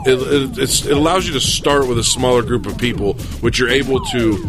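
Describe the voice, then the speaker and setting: A man in a casual debate among friends, making his case earnestly and haltingly as he thinks aloud. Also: mid-range voice, over music.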